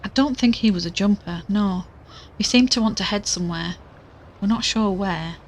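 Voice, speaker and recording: An actress playing a worried, determined young podcaster in an audio drama, earnest and conversational. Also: female voice, mid-range voice, normal speed, slight background noise, close to an everyday voice. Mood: polite, anxious. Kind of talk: audio drama dialogue and recorded narration.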